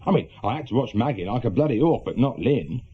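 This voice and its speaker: An actor playing an awkward middle-aged reformed small-time crook with an English accent, a worried husband in a British radio drama, husky and hesitant. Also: male voice, mid-range voice, lively intonation, fast, a little hiss or hum, natural voice. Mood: uneasy, worried. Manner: hesitant, colloquial, defensive. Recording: muffled, lo-fi radio drama recording.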